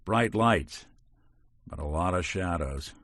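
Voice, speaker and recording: A man's voice: low voice, lively intonation, slow, fairly bright tone, dry recording, full character voice. An actor voicing a world-weary male robot detective in a game, talking in dry, hard-boiled wisecracks. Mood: reflective. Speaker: game companion character. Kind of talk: video game voice acting.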